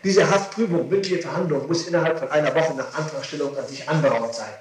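A man's voice: fairly high pitch, fast talker, recorded from a distance. A man speaking as a defence lawyer, explaining legal advice insistently and repetitively on an old 1960s tape. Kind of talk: legal advice in a recorded interrogation hearing. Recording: echoey, noisy 1960s archive tape.

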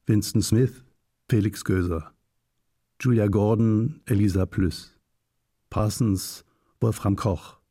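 A man reads scripted radio credits as an announcer. His voice is dark and fairly low, placed at an unhurried pace in a dry studio sound. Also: fairly even intonation, slightly rough, dry recording. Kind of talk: scripted announcement of title and cast.

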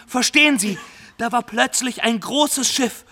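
A man's voice: extremely high pitch, a little slow, sharp tone, exaggerated voice. An actor playing an earnest young Somali graduate turned pirate, telling his story formally and directly to the listener in a radio play. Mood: eager, insistent.

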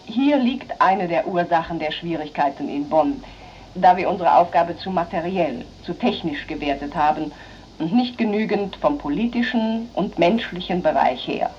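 A woman gives a measured, formal political statement on old radio. The sound is dull and lo-fi, with faint hiss. Her voice sits low. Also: animated, a little mellow.

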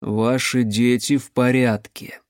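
A stern male mage from an audiobook fantasy, acted by a male narrator. His voice is mid-pitched and tightly controlled, with icy restrained anger behind it. It is recorded close and dry. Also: fairly even intonation, mellow, clean tone, dry recording, natural voice. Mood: calm authority. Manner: speaking through his teeth, controlled, slow.

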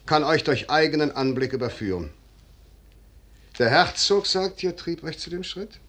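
An actor playing a shrewd, commanding, noble general in a 1950s German radio drama, speaking in measured, deliberate declamation. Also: male voice, on the high side, expressive, a bit bright. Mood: grave, revealing.

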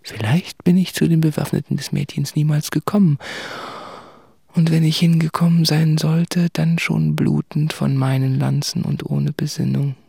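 An actor playing a tormented, introspective man who confesses in a halting, hushed, husky and creaky voice in a literary radio play. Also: fairly high pitch, little pitch movement, dry recording, studio quality, exaggerated voice. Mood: resigned, haunted.

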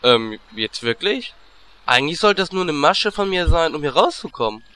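A man's voice: fairly high pitch, sing-song, moderate pace, over music. A man playing a cheeky, fearless little gnome warlock in a fantasy parody audio drama, brash and teasing. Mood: baffled.

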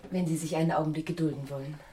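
Noisy radio play, low-voiced formal secretary played by woman. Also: steady tone, very fast, natural voice. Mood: formal, businesslike. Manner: polite, businesslike, formal. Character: brisk, formal female secretary at a law office. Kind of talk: 1980s radio comedy crime acting. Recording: noisy 1980s archive radio recording.

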